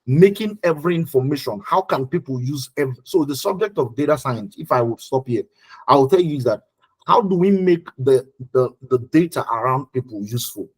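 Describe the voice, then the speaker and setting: A man with a Nigerian accent and a husky voice teaching an online class informally, repeating phrases for emphasis and checking in with listeners. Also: medium pitch, animated, a little fast. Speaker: teacher leading an online study group. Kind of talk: informal live lecture with check-in questions.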